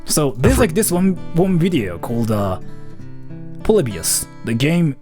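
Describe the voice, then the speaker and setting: Male streamer with very animated, swinging pitch. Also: unhurried, faint music behind. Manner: rambling, repetitive, hesitant. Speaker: livestreamer reading donations. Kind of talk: casual livestream chat.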